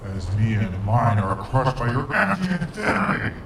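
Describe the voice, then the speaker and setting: A man's voice: lowish voice, sing-song, moderate pace, vocal fry, a bit bright, heavily acted. A man playing a vengeful, grieving ancient interdimensional monster in a sci-fi audio drama, speaking in grandiose, formal menace. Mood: bitter menace. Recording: noisy, echoing, distant-sounding.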